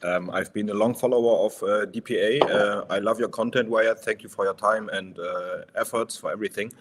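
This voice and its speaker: A polite first-time caller, a man from Turkey who grew up in Germany, speaks hesitantly and fills pauses with ums. His voice is lowish. A faint hiss runs under his line. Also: fairly even intonation. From Turkey, raised in Germany. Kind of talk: casual online discussion.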